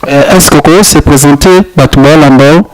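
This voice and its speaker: A man's voice: a little high, normal speed, slight background noise, low-quality recording. A man, hosting a casual street interview and repeating promotional plugs for his web channel. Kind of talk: street interview, mixing French and Lingala.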